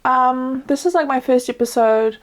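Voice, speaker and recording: A New Zealand woman in her thirties hosting her own podcast from home, talking in a relaxed, chatty way with many ums and likes into a webcam. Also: high voice, normal speed. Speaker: podcast host. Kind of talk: casual solo podcast talk. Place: small indoor room at home, dog barking outside. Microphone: laptop webcam, seated close.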